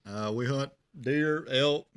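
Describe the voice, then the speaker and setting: A man's voice: mid-range voice, takes it slowly, fairly bright tone, clean tone. A man who leads a bow hunting association, giving short, good-natured answers as a guest.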